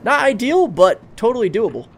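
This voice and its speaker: A man's voice: extremely high pitch, very animated, a little fast, gravelly, a little hiss or hum. A man in his thirties chatting casually on a game livestream, reading out and answering chat comments as he plays. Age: 30s. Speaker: streamer.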